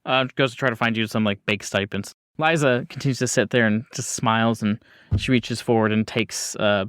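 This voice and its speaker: A man's voice: lowish voice, fairly even intonation, moderate pace, a bit bright, smooth, no echo, clean studio sound. A man narrating a tabletop game as game master, descriptive and informal.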